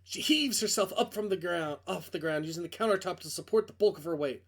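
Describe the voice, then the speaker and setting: A man's voice: high-pitched, fairly quick, a bit bright, slightly rough. A man reading a visual novel aloud as narrator, in a dramatic scripted storytelling style.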